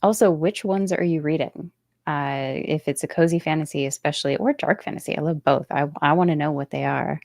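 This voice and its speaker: A woman's voice: deep voice, a little fast, vocal fry, a little mellow, no room sound, studio quality. A woman hosting a casual interview and chat about books. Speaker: host of a book interview show.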